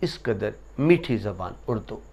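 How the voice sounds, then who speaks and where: A man giving a lesson to students, explaining essay writing and reciting couplets slowly with emphasis. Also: on the low side, expressive, creaky, darkish tone. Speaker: teacher.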